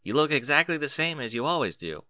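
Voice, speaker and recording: Young wisecracking dragon boy played by a man, casual delivery, lively intonation, very dry sound. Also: on the high side, quick pace, no room sound, voice pushed up. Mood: sardonic. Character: young male dragon, sarcastic, laid-back kid sidekick.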